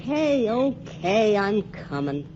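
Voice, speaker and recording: An actress playing a streetwise young nightclub singer in a 1940s radio drama, brassy and slangy. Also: female voice, medium pitch, very animated, slow pace, a little mellow, soft background music. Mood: defensive. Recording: muffled 1940s radio broadcast with faint hiss.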